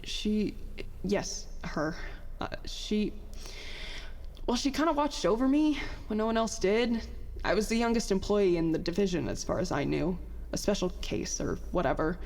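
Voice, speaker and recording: A woman playing a bitter, worn-out young inventor in a confessional audio drama monologue, halting, self-deprecating and emotional. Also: medium pitch, lively intonation, vocal fry, a little mellow. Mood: halting, subdued. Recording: long hall-like reverb on voice.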